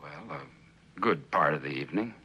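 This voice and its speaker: An actor playing a guarded, reluctant middle-aged witness in a 1960s TV courtroom drama, answering carefully and haltingly in a husky voice. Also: male voice, rumbling low, lively intonation, sharp tone, muffled recording, slight background noise, heavily acted.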